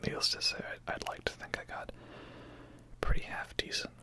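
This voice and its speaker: Breathy whisper, ASMR gameplay commentary, casual, rambling asides, streamer. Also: brisk. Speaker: video game streamer.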